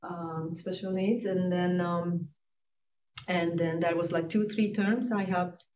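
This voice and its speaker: A woman with a Hungarian accent, a native Hungarian speaker, introducing herself as an early childhood educator in casual talk, often pausing to search for words. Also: fairly low pitch, level tone, a little mellow. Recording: distant, muffled mic with faint noise.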